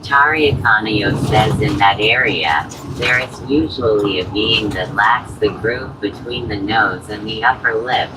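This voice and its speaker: A man's voice reading viewer chat messages aloud, name by name, in a flat, even delivery. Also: lowish voice, measured pace, few pauses, noisy background.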